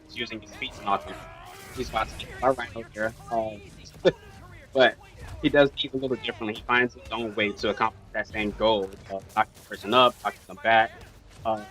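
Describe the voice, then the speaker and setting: A man answers interview questions about game design in a casual, hesitant way. His voice is low and flat, and faint music plays behind. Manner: casual, hesitant, many ums. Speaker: game developer.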